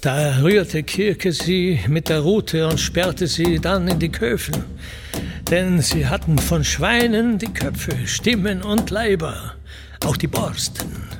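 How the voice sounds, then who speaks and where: A gravelly, fairly high male voice as an ancient seafaring hero. He recites classical verse for radio in a solemn, deliberate manner, very slowly and with weighty pauses. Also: noisy background. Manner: measured, deliberate, declamatory, with pauses. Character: seafaring ancient Greek hero recounting his wanderings. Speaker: actor reciting as narrator.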